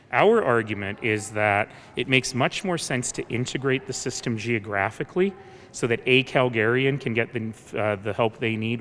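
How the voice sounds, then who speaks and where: A man giving an argumentative public speech as a civic official, deliberate and pointed, with emphatic pauses. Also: fairly bright tone. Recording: echoing hall with low rumbling background noise.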